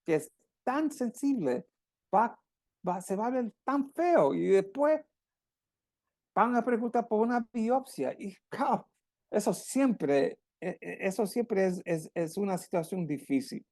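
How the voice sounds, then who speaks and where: A Puerto Rican man lectures on medicine in slow, halting Spanish, circling back over his words. His voice is gravelly and mellow. The sound is dry and close, as on a video call. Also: sits high, expressive, dry recording. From Puerto Rico. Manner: halting, with many restarts and repeated words. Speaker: radiologist lecturer.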